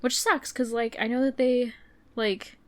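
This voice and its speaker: A woman's voice: fairly high pitch, steady tone, unhurried, dry recording. A woman co-hosting a podcast in casual chat, sniffly with a runny nose, rambling through plenty of fillers. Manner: chatty, rambling with many fillers. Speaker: podcast co-host.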